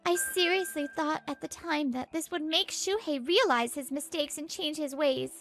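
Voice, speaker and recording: A high-voiced, earnest wife played by a woman narrates her home life in a manga dub. She sounds fed up yet hopeful, with music running underneath. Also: normal speed, fairly bright tone. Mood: resolute.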